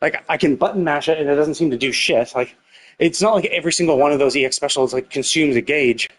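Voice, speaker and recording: A man's voice: on the high side, quick pace, rough recording. A man giving casual, sweary live game commentary as a streamer, puzzling things out aloud. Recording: game music behind the voice.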